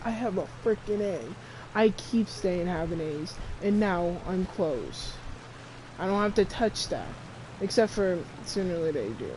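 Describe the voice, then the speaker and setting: Casting: female game streamer, mid-pitched voice, casual slangy grumbling, noticeable background noise. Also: poor audio. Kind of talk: casual gameplay chat.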